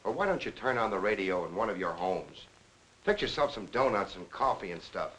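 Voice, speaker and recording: An actor playing a plain-spoken, wry police lieutenant with quiet authority in a 1940s crime film. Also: male voice, fairly low pitch, lively intonation, fairly quick, breathy edge, low-quality recording. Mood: steady, wry. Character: steady, dry, fatherly police lieutenant. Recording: hissy 1940s film soundtrack.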